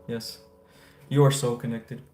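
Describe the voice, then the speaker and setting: A man's voice: medium pitch, flat delivery, unhurried, a little mellow. A man from the United States hosting a casual spiritual livestream, answering viewers and giving enthusiastic, affirmation-style blessings. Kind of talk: casual livestream chat, reading viewer comments. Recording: roomy echo, faint guitar music. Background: faint guitar music.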